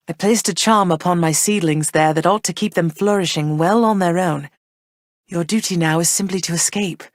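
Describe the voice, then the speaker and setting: A woman voicing a gentle, thoughtful goddess queen and mother for a video game, speaking in a soft, measured, slightly formal way. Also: on the low side, no room sound, clean studio sound, close to an everyday voice. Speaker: voice actress for a video game character. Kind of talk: recorded game voice lines.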